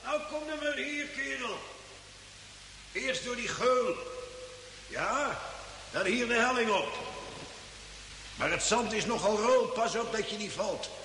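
An actor playing a hospitable, rough bushman who hunts dingoes, talking in a hearty, folksy way in a Dutch radio drama. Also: very high-pitched, expressive, takes it slowly, long pauses, bright, forceful tone, full character voice. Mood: hearty, calling. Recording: echoing hall, faint hiss.

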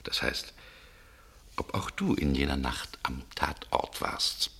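A male actor playing a shrewd, cool man in a German radio mystery, speaking in a gravelly, deliberate, insinuating way. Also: extremely low pitch, big pitch swings, sharp tone, exaggerated voice. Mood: accusing, controlled. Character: shrewd, cool man coolly interrogating a murder suspect.

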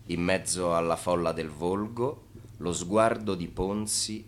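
A man's voice: deep voice, fairly even intonation, slow pace, a little hiss or hum. A man narrating an Italian radio drama in terse, emphatic, dramatic phrases.

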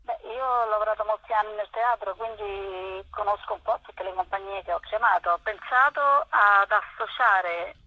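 A woman's voice: medium pitch, normal speed, hardly any pauses, bright, forceful tone, no echo. A talkative woman explaining at length in a casual interview, speaking over a dull, lo-fi phone line. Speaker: arts programme organiser being interviewed.

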